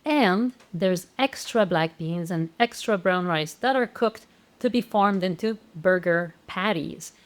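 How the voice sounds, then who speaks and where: A woman's voice: lowish voice, animated, a little slow. A woman walking through meal plans as an instructor, in a casual, conversational manner with frequent fillers and restarts. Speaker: plant-based meal planning instructor. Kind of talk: casual explanatory walkthrough.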